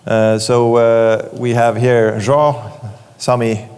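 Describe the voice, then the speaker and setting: A man's voice: medium pitch, flat delivery, takes it slowly, a little mellow, clear, resonant tone. A man moderating a conference panel, talking loosely with many fillers. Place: conference stage in a large hall. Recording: long hall reverb.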